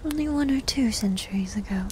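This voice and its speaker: Woman acting a coy succubus girl, breathy whisper, very mellow, unhurried, teasing and needy, ASMR roleplay, rain-like ambience. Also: on the high side, lively intonation, a little hiss or hum, close to an everyday voice. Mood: coy, intrigued. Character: needy, teasing goth succubus demon girl, centuries old.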